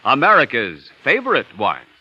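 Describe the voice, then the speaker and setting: A man's voice: medium pitch, huge pitch swings, moderate pace. A male announcer reading a scripted 1940s radio advertisement with polished, emphatic salesmanship. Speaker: commercial announcer. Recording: dull vintage radio recording with music.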